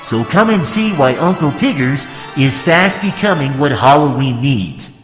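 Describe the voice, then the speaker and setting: A man voicing a creepy, gleeful monster-show pitchman, hammy and theatrical, in a comic spooky radio ad. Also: sing-song, unhurried. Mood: gleefully creepy. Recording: echoey long reverb, music underneath.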